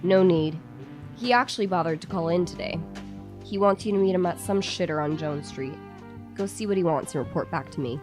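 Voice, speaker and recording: An actress playing a foul-mouthed police chief in an audio drama, blunt, sarcastic and commanding. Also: female voice, fairly low pitch, a little fast, music in the background, close to an everyday voice.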